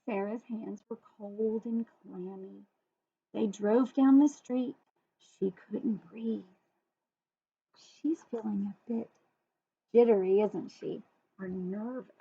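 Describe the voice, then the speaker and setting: A woman reading a children's story expressively and deliberately, pausing to ask listeners questions. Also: medium pitch, vocal fry, warm tone, slightly rough. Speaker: storybook reader. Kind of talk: children's read-aloud with asides to listeners. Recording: phone-like, muffled thin audio.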